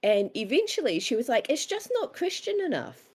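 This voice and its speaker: A woman's voice: on the high side, sing-song, moderate pace, a little mellow, husky. A woman with a New Zealand accent talking casually as an interviewed author, candid and self-deprecating, acting out bits of dialogue as she tells stories.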